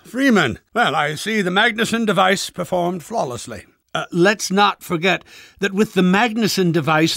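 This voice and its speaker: A man's voice: high-pitched, creaky, fairly bright tone, no echo, studio quality, heavily acted. A man playing a pompous, irritable older scientist in a video game, speaking with sarcastic, impatient, fussy enunciation. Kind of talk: video game character voice acting.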